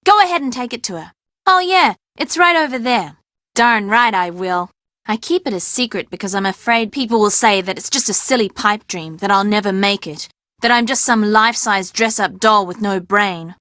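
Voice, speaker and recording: A woman's voice: mid-range voice, normal speed, sharp tone, no echo, studio quality, lightly acted. A woman playing a chatty, sassy young fashion model who likes to fib, in video game dialogue with a dramatic, emphatic delivery. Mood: confiding. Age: young adult.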